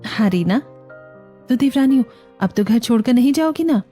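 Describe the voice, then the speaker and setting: A woman plays a patient Indian eldest daughter-in-law in a family cartoon. She is soothing, practical and reassuring, in a fairly high, mellow voice over music. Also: expressive, normal speed, husky. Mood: confident, practical.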